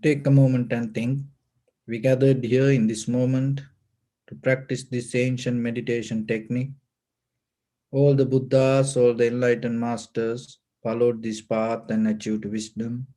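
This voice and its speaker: A Buddhist meditation teacher, a man with a Sri Lankan accent, guides listeners through practice. His tone is warm and relaxed at an average male pitch. He gives gentle, measured instructions and leaves short pauses between phrases.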